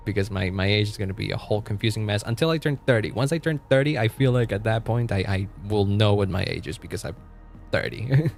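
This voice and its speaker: A man's voice: lowish voice, lively intonation, quick pace, gravelly, faint music behind. A man in his twenties chatting casually on a live stream. He rambles, laughs often and trails off mid-sentence. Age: 20s. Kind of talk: casual live-stream chat, reacting to chat and game.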